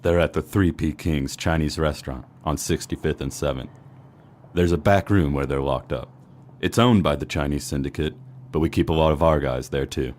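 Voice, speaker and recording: A man's voice: a bass voice, normal speed, a little mellow, a little hiss or hum. A man playing a menacing snowman mob hitman in a noir comedy audio drama, speaking in formal gangster style. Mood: grudging confession. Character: menacing snowman mob hitman, turning fearful when cornered.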